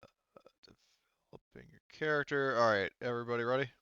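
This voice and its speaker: Guy game master chatting casually, smooth clear voice, swears a lot, close dry sound. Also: mid-range voice, brisk, pushed and bright, no echo, lo-fi sound. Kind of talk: casual tabletop game debrief.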